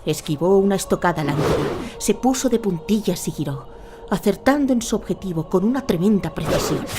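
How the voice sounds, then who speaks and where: Low-pitched woman narrator over clear music. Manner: measured, storytelling. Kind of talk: scripted dramatic audio-drama narration.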